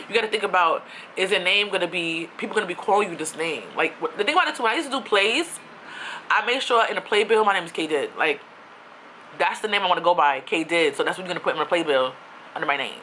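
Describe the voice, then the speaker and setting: A woman's voice: fairly low pitch, quick pace, a little hiss or hum, poor audio. A young woman from New York, ranting casually on her own vlog in a chatty, slangy way. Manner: chatty, animated, with slang and asides. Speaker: YouTuber.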